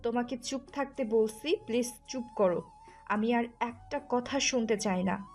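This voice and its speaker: Audio drama casting: actress as furious jealous wife, sharp and accusing, fairly high voice over music. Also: female voice, a little slow. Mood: cold anger. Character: furious, jealous, wounded wife scolding her husband. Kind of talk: audio story acting. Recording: music under the voice.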